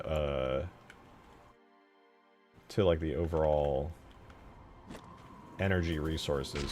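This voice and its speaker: A man commenting casually on his screen as he works on a game mod, thinking aloud in informal, sweary language. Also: sits low. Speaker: game modder, channel host. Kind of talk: casual screen-share commentary while working. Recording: music behind the voice. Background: music.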